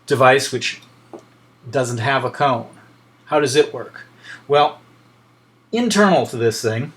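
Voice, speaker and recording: A man's voice: medium pitch, fairly bright tone. A man lecturing in an informal, explanatory way, thinking aloud with frequent hesitations.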